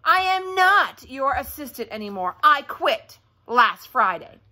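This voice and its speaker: A woman voicing a calm, unbothered, politely stubborn boy in a children's storybook, in a matter-of-fact way. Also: high-pitched, unhurried, exaggerated voice. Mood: calm defiance.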